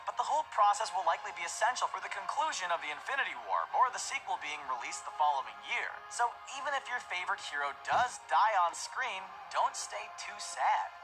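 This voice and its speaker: Brief: energetic explainer narrator with varied intonation, over background music. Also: medium pitch, poor audio. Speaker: video narrator. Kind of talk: scripted narration. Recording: produced video clip with music.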